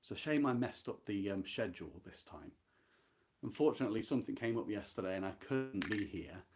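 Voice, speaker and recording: A man's voice: on the low side, lively intonation, fairly quick, a little mellow. A man hosting a book club, talking informally and live about literature in a reflective, earnest and emphatic way. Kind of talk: informal live talk about a classic novel.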